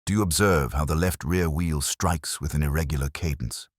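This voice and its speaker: A man voicing a brisk, precise, keenly observant detective who lectures in an audiobook story. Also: extremely low pitch, no room sound, clean studio sound. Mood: brisk, lecturing. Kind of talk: audiobook character dialogue.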